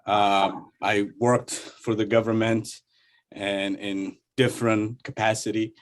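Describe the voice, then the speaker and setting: A man from Somalia, introducing himself informally and haltingly as a participant in an online workshop. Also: lowish voice, little pitch movement. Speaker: workshop participant, cybersecurity entrepreneur. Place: online video call.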